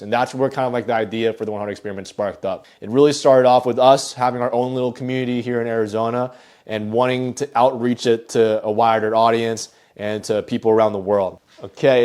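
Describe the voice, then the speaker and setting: Man, casual vlogger, easygoing and self-mocking. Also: mid-range voice. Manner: relaxed and self-deprecating, laughs often. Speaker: co-founder of the community. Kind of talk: casual vlog house tour. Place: home living room.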